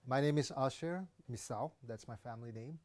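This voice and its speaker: A man's voice: mid-range voice, brisk. Careful man from India. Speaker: student. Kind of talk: interview answers.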